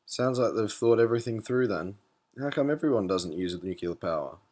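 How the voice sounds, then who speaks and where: A man's voice: on the low side, animated, fairly quick, darkish tone. A man playing a naive, anxious and questioning spaceship captain in an educational cartoon. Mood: resigned. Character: clueless, anxious male spaceship captain, curious learner. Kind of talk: scripted animated educational dialogue.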